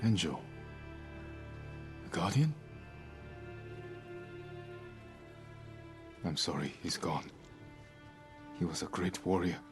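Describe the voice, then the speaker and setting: An earnest samurai hero, with a low voice and a serious, restrained delivery. He is resolute and loyal, speaking tersely in a cinematic game scene with music underneath. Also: expressive, unhurried. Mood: somber.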